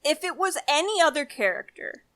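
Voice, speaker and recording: A woman's voice: sits high, lively intonation, fairly quick, fairly bright tone. A young woman co-hosting a podcast, chatting casually and giving her opinions in an informal, rambling way. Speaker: podcast co-host. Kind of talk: casual chat.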